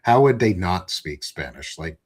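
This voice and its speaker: Casting: low, husky-voiced male host, chatty and quick to agree, a bit off-mic. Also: sing-song, a little mellow. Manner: conversational, backchanneling. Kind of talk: casual interview chat.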